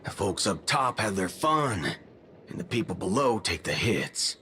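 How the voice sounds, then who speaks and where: A man voicing a cocky, playful cowboy gunslinger in a video game, talking in folksy slang with softened swear words. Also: lowish voice, big pitch swings, measured pace, slight background noise, exaggerated voice. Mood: casual, wry. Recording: game dialogue over music.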